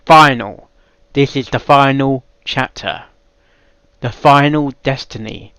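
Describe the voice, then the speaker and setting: A man's voice: mid-range voice, slow, fairly bright tone, smooth, no room sound. A man hosting a reaction video with casual commentary.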